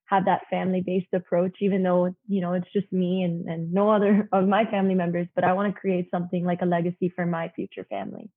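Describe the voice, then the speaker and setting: Woman, early twenties, youthful husky voice, chatty guest, heard over a tinny line. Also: lowish voice, fast, few pauses. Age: 20s. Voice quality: young-sounding voice. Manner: chatty, frequent "you know" fillers. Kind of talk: casual interview talk.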